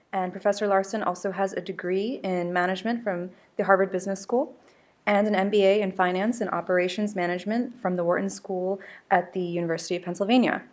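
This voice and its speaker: A young woman reads a speaker's introduction at a podium. Her delivery is careful and formal, the measured pace of someone reading from notes. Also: mid-range voice. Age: young adult. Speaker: student host introducing the speaker. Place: conference hall, at a podium. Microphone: podium microphone.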